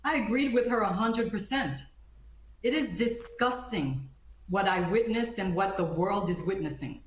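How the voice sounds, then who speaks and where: A woman's voice: medium pitch, animated, measured pace. A woman born in Haiti and raised in the United States, speaking emphatically and indignantly as an attorney guest in a live news interview. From born in Haiti, grew up in the United States. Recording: roomy, echoing sound.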